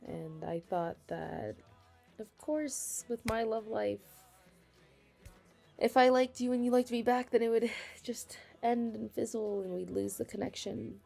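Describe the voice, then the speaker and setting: A young woman playing a flustered, affectionate best friend with a secret crush in an intimate ASMR roleplay, speaking hesitantly and haltingly. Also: a little high, creaky, mellow, faint music behind. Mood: shy, confessional. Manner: hesitant, halting, breaking into nervous laughs. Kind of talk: ASMR romantic roleplay, intimate conversational acting.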